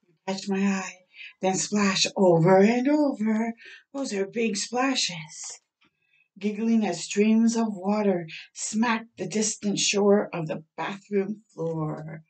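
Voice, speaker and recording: A woman's voice: medium pitch, slow, a little mellow. A middle-aged woman hosting children's storytime, reading a picture book aloud expressively with asides, drawing out words in a home bathroom. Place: small home bathroom, indoors. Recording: slightly distant microphone in a room.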